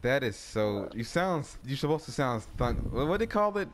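Excitable cursing man calling plays. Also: mid-range voice, normal speed, noisy background. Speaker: gamer playing with friends. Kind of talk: casual profane game chat.

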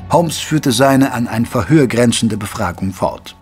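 An actor playing a caring gentleman physician who narrates a German audio drama in a measured, literary way. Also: medium pitch, expressive, faint music behind. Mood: measured narrating.

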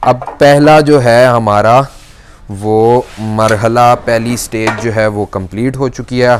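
A man's voice: mid-range voice, background noise. A young man, a shop salesman who demonstrates a kitchen appliance step by step in an electronics store. He talks patiently and often stretches "aa" fillers between phrases. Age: young adult. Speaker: shop salesman reviewing products. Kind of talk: product demonstration.

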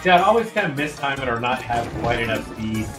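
A man's voice: mid-range voice, very animated, fast talker. Male speedrun commentator, casual bantering delivery, roomy sound, game music underneath. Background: video game music.

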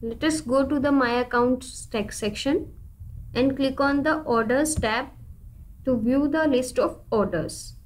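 A woman narrating a scripted, step-by-step software demo in an instructional, deliberate manner. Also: high voice, rough recording. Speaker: software tutorial presenter.

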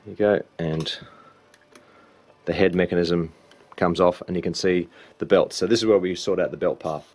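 Aussie or kiwi guy with a low voice, casually explaining a repair step by step. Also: steady tone, normal speed, pauses often, darkish tone. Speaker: repair hobbyist demonstrating a belt change. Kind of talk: casual hands-on repair walkthrough.

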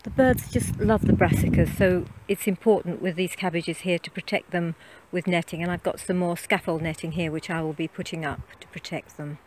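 A woman's voice: lowish voice, fairly even intonation, a little fast, a little mellow, a little hiss or hum, poor audio. A middle-aged woman chatting casually about her garden while filming outdoors on a phone, with frequent ums. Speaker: gardening vlogger. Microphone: handheld phone camera.

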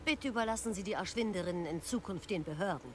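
Stern female officer played by an actress for a fantasy game, formal, admonishing, mid pitch, faint ambience noise. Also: quick pace, a little mellow, lightly acted. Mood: firm, admonishing. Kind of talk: video game voice acting.

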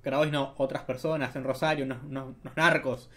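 A man's voice: medium pitch, little pitch movement, fairly quick, sharp tone. A young man with an Argentine accent giving casual, sarcastic political commentary, often ending remarks with tag questions. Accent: Argentine Spanish.

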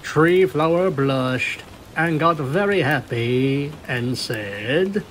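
A man's voice: a little high, expressive, extremely slow, fairly bright tone, slight background noise. A man narrating a scripted story as a fan fiction reader.

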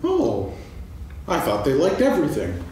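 An actor playing a gentle, simple-minded, kindly bear in a staged reading of a children's story, in an echoey hall with faint hiss. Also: male voice, a little high, wildly swooping pitch, measured pace, gravelly, recorded from a distance, exaggerated voice. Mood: mild. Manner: gentle, musing, polite.

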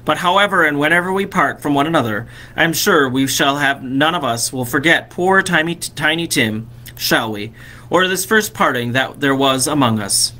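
Male reader as a soft-spoken loving dad, bright tone. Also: fairly high pitch, expressive, moderate pace. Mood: tender. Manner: tender, reassuring. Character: gentle loving poor father.

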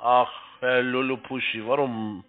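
A man's voice: medium pitch, flat delivery, unhurried. A man working as a call center agent, talking informally with a customer on the phone. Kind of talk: informal phone sales conversation. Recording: band-limited phone-call audio.

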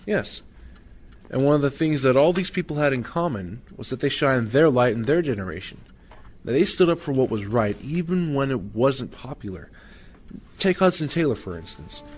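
A man's voice: mid-range voice, animated, moderate pace, faint music behind, close to an everyday voice. An actor playing a patient, encouraging father telling stories of faith in an audio drama, with a reassuring manner. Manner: reassuring, storytelling.

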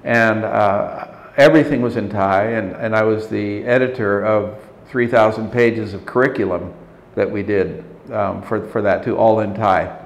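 An older man in his sixties speaks as an interview guest, slow to find his words and often restarting. His voice sits a little low, and the office room around him sounds roomy. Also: fairly even intonation. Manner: measured, thoughtful, frequent restarts and hesitations. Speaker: interview guest, leadership consultant. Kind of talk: reflective interview talk. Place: office meeting room at a table.

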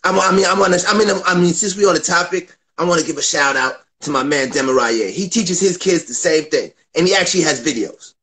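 Chill puppet guy, a man voicing him, new york accent, slangy, kinda high voice. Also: expressive, brisk, hardly any pauses, a bit bright. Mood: easygoing. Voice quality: laid-back, slangy. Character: laid-back young male puppet co-host, street slang. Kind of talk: comedic puppet news chat.

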